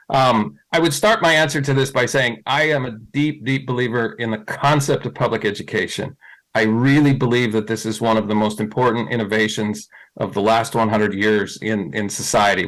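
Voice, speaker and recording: Man, middle-aged, slightly low voice, candidate at a forum, thoughtful, restarts often. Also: a bit bright, poor audio. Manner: thoughtful, with frequent fillers and restarts. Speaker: school board candidate.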